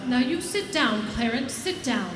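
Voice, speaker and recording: Brisk supervising angel, female actor, no-nonsense, high pitch, live radio drama, roomy stage. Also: animated, over music. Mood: firm, patient. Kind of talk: live 1940s radio play acting.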